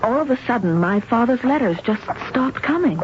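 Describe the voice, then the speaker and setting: A woman's voice: on the high side, expressive, a little fast, natural voice. Young woman lead, an actress, 1970s radio mystery: earnest, insistent, guarded. Dull, heavily noisy old recording. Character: determined young woman anxious about her missing father. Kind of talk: 1970s radio drama acting.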